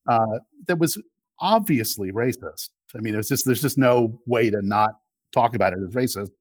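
Male podcast guest, emphatic and argumentative, halting phrasing, close dry sound. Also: medium pitch, no room sound. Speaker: interview guest, political commentator and media executive. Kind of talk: conversational political debate.

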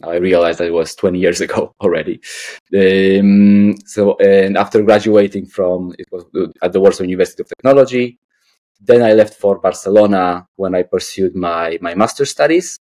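A man's voice: sits low, moderate pace, mellow. A man in his late thirties with a Polish accent, answering casually as an interview guest, hesitant and often repeating words. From Poland. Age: late 30s. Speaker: guest, artist and architect.

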